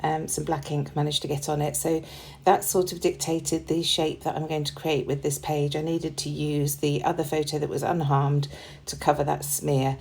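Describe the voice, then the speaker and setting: A woman with a low, mellow voice, a little hoarse, talking through a craft project in a relaxed, chatty way. She fills pauses with ums and uhs as she explains each step. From United Kingdom. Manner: relaxed and chatty, with frequent ums and small laughs. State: slightly hoarse, losing her voice. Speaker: crafting channel host. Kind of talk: casual voice-over narrating a scrapbooking process.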